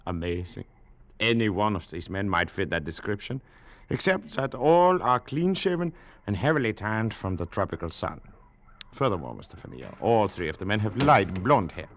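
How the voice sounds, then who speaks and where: An actor playing an urbane, wry colonial district official with a deliberate, formally polite manner, in old radio drama style. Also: male voice, lowish voice, sing-song. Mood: wry, amused. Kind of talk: 1940s-50s radio drama acting.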